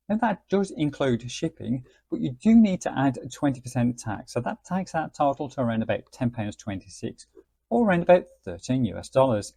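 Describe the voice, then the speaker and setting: Man reviewing gadgets, slightly husky, dry sound. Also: mid-range voice, mellow, no room sound. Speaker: radio gadget reviewer, host. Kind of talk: casual product review narration.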